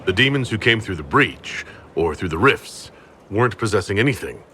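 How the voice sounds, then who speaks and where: An actor playing a composed, questioning heroic leader in a video game, wry and thoughtful. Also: male voice, lowish voice, very animated, moderate pace, sharp tone, a little hiss or hum. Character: composed, questioning young male hero leader. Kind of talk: dramatic video game acting.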